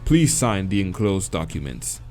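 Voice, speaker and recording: A man's voice: sits low, very animated, a little mellow, faint music behind. A man voicing an imposing, demonic owl man in a visual novel, pompous and formal in his speech. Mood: businesslike, imperious. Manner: pompous, formal, measured wording.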